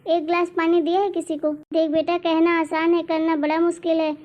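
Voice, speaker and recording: A woman's voice: very high voice, flat delivery, brisk, a little mellow. A woman voicing an anxious, complaining older mother-in-law in a cartoon family drama, with a fretful, nagging manner. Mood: worried.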